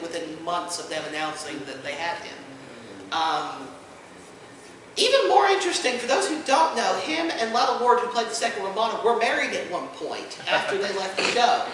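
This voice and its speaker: A man's voice: high-pitched, very echoey. Man, convention panel host, unscripted and emphatic, distant from the mic, faint noise. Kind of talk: unscripted fan convention presentation.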